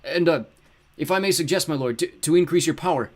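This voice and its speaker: A man's voice: fairly high pitch, brisk, pitched above the performer's own voice, natural voice. Storybook henchman: gangly male rooster-legged demon, obsequious, edgy, chiding, acted by a male reader. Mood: fawning, nervous. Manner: fawning, edgy.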